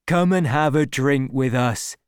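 A woman's voice: extremely low pitch, measured pace, smooth, no room sound, studio quality. A woman voicing hearty, sociable, plain-spoken village farm men in a storybook reading. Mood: welcoming.

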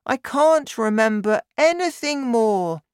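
A woman voicing a worried, apologetic little boy in a storybook, pleading in a high voice. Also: lively intonation, slow, darkish tone, smooth, no room sound, studio quality.

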